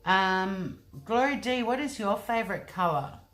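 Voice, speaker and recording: A woman's voice: mid-range voice, lively intonation, a little slow, fairly bright tone, soft background music. A woman hosting a casual livestream from home, reading out viewer comments and rambling chattily with lots of ums and likes. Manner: chatty, rambling, often says um and like. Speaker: livestream host.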